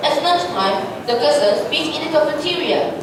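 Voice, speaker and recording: A woman's voice: high-pitched, expressive, moderate pace. A woman narrating a scripted story as part of a reader's theatre performance. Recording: very echoey room, distant mic, clear noise.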